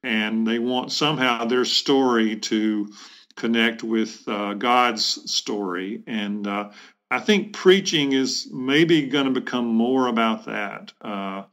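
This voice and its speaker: A middle-aged man, a bishop answering interview questions in a reflective, halting way with measured phrasing. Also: medium pitch, fairly bright tone. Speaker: bishop, podcast guest. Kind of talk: thoughtful interview conversation.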